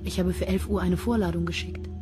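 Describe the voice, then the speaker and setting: A stern female detective, played by an actress in a crime radio drama. Her voice is on the low side, curt and cool, with music clearly behind her. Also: fairly even intonation, a little fast, natural voice. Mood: cool, businesslike. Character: stern, dry female police detective inspector. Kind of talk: crime radio play acting.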